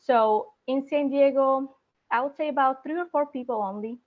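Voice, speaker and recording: A woman working in procurement, speaking as an interview guest in a thoughtful, halting way with many fillers. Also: high voice, narrow, tinny audio. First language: not Spanish; speaks several languages. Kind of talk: career interview. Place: San Diego area.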